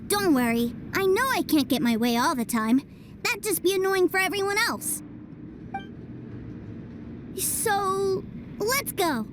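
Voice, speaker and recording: A woman's voice: lively intonation, slow, long pauses, noisy background, heavily acted. A woman voicing a small, innocent boy in a video game, an eager, adoring little brother with an earnest childlike voice. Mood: resigned, brave. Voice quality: high, childlike. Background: loud game music.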